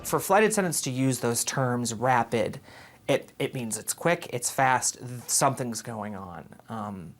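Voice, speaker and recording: A man's voice: mid-range voice, expressive, a little slow. A man speaking reflectively and informally as an interviewee.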